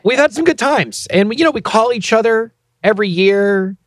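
A man's voice: high voice, animated, normal speed, no echo, studio quality. A man in his thirties co-hosting a casual podcast, telling a story in a playfully indignant way. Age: 30s. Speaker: podcast co-host.

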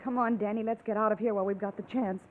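A woman's voice: medium pitch, steady tone, fast talker, lightly acted. Casting: actress for a devoted, anxious young woman, vintage crime radio, muffled phone-like sound. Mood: devoted, urgent. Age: young adult. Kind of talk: classic radio drama acting.

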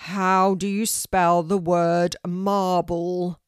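Lady doing a loud stern talking oak tree, slow commanding teacher type for a kids story, very dry sound. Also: level tone, clean tone, dry recording. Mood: booming, formal. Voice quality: deep, loud. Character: booming, stern oak tree teacher setting spelling questions. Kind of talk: children's storybook character voice.

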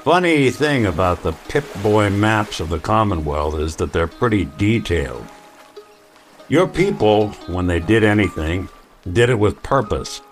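An actor playing a world-weary, sardonic detective in a post-apocalyptic radio mystery, with a dry, hard-boiled delivery. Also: male voice, lowish voice, very animated, a little slow, soft background music. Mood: weary, reflective. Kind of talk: old-time radio detective drama acting.

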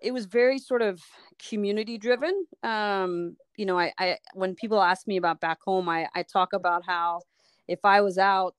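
Middle-aged woman from Liberia, physician guest, smooth voice, dry close sound, reflective and halting. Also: medium pitch, no echo. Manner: thoughtful, pausing often and restarting phrases. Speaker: sports medicine physician, guest. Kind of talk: reflective personal story in an interview.

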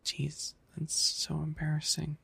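A man acts out a bashful young animal-boy maid in an ASMR roleplay. He is fussy and easily flustered, stammering in a slow, husky voice with a creaky edge. His manner is pouty and shy. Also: on the high side, monotone, sharp tone, no echo, heavily acted. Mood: embarrassed, scolding.

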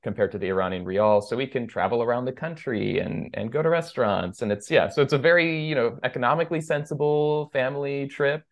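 A man's voice: mid-range voice, fairly quick, hardly any pauses, dull audio. A man in his thirties, raised between two countries and a native Persian speaker, chatting as a podcast guest in a loose, rambling way full of fillers and restarts. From United States. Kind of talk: casual interview conversation.

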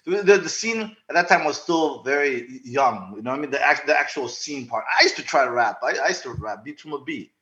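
A man in his forties from Zaire, raised in the United States and Zimbabwe, chatting casually as an interview guest, telling stories in an animated, halting way with repeated words. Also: medium pitch, highs cut off. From born in Zaire, raised in the United States and Zimbabwe. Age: 40s. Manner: animated, halting storytelling with repeated words and frequent check-in tags. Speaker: podcast guest. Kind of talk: casual anecdotal interview chat.

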